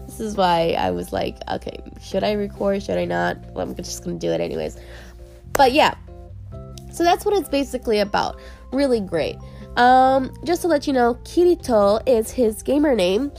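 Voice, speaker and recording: A woman's voice: mid-range voice, creaky, darkish tone. Congested, nasal woman podcast host. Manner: bubbly and chatty, laughs often. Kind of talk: casual solo podcast chat.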